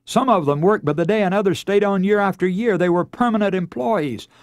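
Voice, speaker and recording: A man's voice: high-pitched, a little fast, dry recording. An American man preaching a radio sermon as a dramatic, emotive story, with theatrical delivery and rhetorical questions.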